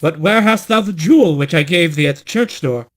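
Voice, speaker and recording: A man's voice: on the high side, animated, a little fast, natural voice. A man voicing a stern, commanding prince in a fairy tale, speaking in formal, archaic phrases with insistence. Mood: questioning, stern. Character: king's son, stern, commanding young bridegroom.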